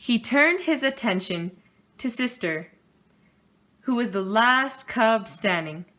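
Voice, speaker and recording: A woman narrates a children's storybook aloud. Her voice sits fairly high, and she takes her time, delivering each phrase deliberately for young listeners. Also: animated, sharp tone. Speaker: storybook reader.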